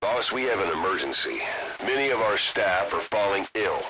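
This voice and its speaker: A man's voice: fairly low pitch, very animated, pushed and bright, a little hiss or hum, full character voice, rough recording. An actor playing a grave, urgent military deputy briefing his commander in a video game. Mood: urgent, frustrated. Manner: grave, deliberate, emphatic briefing delivery.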